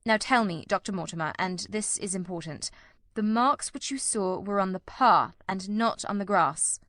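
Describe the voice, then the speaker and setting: A woman plays a keen, commanding detective in a storybook reading. The delivery is formal, analytical and inquisitive, at a medium pitch for a female voice, in a dry recording with no room sound. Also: normal speed, a bit bright, no room sound, natural voice. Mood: probing. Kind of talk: audiobook character reading.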